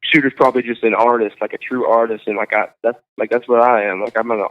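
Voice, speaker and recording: A young man, a singer-songwriter guest, chatting casually in an interview, halting and full of fillers. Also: medium pitch, fairly even intonation. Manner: halting, full of likes and ums. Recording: tinny phone-like sound.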